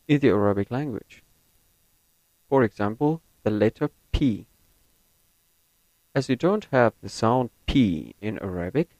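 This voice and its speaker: A young man from Syria whose first language is Kurdish reads a set passage slowly and carefully, then talks about himself. He speaks English with an American-leaning accent. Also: medium pitch, darkish tone, dry recording, low-quality recording. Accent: American-leaning non-native English. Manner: slow, careful and deliberate. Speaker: university student. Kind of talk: reading a set passage, then personal account.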